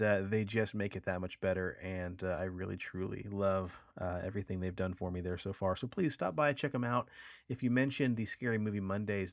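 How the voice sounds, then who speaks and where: A man's voice: fairly low pitch, no echo, studio quality. Upbeat slangy man on a podcast. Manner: upbeat, slangy, drops frequent uh fillers. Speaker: podcast co-host and poster artist. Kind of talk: casual chat.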